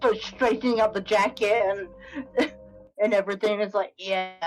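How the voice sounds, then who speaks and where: A woman plays a tabletop game, speaking slowly and casually at a medium pitch. Faint music runs behind her voice. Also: steady tone, poor audio.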